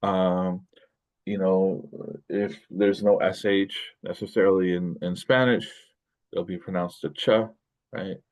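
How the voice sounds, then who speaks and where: A man's voice: sits low, steady tone, a little slow, a little mellow. A middle-aged American man giving a recorded college linguistics lecture, talking loosely with many fillers and restarts. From United States. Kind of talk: recorded lecture over screen share. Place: indoor room at a desk.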